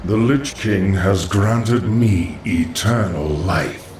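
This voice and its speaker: An actor voicing a calm, eerie undead lich sorcerer in a video game, ominous and assured, with an echoing recording. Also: male voice, on the low side, takes it slowly. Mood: calm, knowing. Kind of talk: video game cutscene acting. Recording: echoing distant voice, noisy game ambience.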